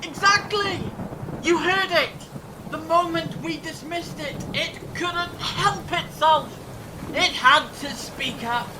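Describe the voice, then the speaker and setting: An actor playing a clever, grave time-travelling adventurer in a fan audio drama, explaining things in a measured, dramatic way. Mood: intent, reasoning. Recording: distant mic, rumbling storm-like noise.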